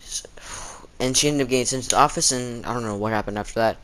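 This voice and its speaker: A teenage guy with a mid-pitched voice gives a casual storytime talk. He rambles along in an informal, conversational way, slipping into quoted exchanges as he goes. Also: quick pace, fairly bright tone, poor audio. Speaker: storytelling vlogger.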